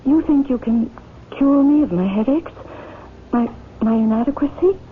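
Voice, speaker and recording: An actress playing a troubled married woman haunted by guilt, speaking hesitantly and haltingly in old-time radio drama style. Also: high-pitched, lively intonation. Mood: hesitant, despairing. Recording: noisy, tinny old radio drama recording.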